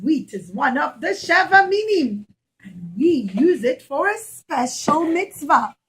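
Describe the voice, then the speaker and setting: Woman acting a baker uncle, puppet show, high-pitched, drawn-out, emphatic, enthusiastic. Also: sing-song, full character voice, poor audio. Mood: delighted.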